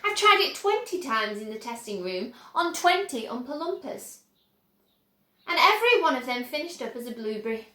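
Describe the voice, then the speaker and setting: A woman voicing a calm, eccentric man who runs a candy factory, speaking matter-of-factly in a storybook reading. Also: sits high, moderate pace, heavily acted. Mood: calmly regretful. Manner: unbothered, matter-of-fact. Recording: roomy echo.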